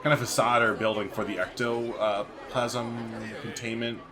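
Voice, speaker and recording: A man's voice: medium pitch, fairly even intonation, moderate pace, a crowd in the background. A man doing casual let's-play commentary, chatty and informal, thinking aloud as he plays. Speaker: gaming video host. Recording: faint background noise.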